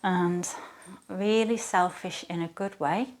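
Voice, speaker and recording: A woman speaking as a yoga teacher, guiding a relaxation practice in a soothing, gentle, unhurried manner with pauses. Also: fairly low pitch, steady tone. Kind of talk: guided relaxation, yoga nidra instruction.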